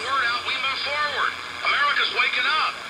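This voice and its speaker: A man with a gravelly voice delivering an agitated, emphatic talk radio rant, heard from a shortwave radio speaker. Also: very high-pitched, a little fast. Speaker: political talk radio host. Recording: harsh shortwave radio speaker, heavy static.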